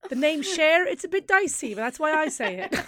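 A woman's voice: sits high, a bit bright, no echo, studio quality. A woman co-hosting a podcast, teasing and laughing, giving blunt opinions in casual chat. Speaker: podcast co-host.

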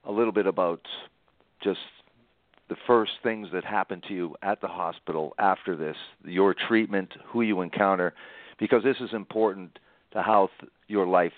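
A man hosting an interview, recapping and prompting in a conversational way, deliberately repeating phrases for emphasis. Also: low voice, steady tone, dry recording. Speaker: interviewer, host. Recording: tinny narrow phone-like sound.

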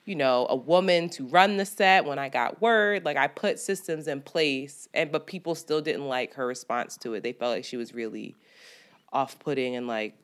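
A woman's voice: rumbling low, normal speed. A woman co-hosting a podcast, chatting casually, swearing freely and acting out quotes. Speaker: podcast co-host. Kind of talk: casual chat.

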